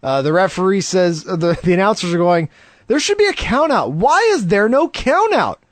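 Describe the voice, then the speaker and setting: A man's voice: sits high, very animated, normal speed, no echo. A man co-hosting a podcast, enthusiastic and jokey while recapping wrestling. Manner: enthusiastic, laughs easily, jokey. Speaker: podcast co-host. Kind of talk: casual wrestling review chat.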